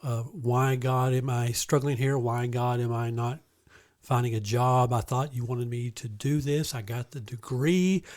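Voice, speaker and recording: A man's voice: mid-range voice, fairly even intonation, a little mellow. A man hosting a podcast interview, folksy and joking, sometimes drawing out his words. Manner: folksy and joking, drawn-out delivery. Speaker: university president and podcast host.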